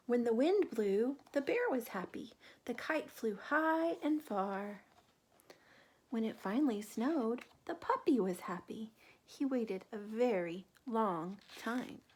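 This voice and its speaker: Slow, higher-voiced woman reading to children. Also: animated, breathy edge. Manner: gentle, pausing to ask questions and point things out. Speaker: teacher reading a picture book aloud to children. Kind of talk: children's read-aloud with questions to the listener.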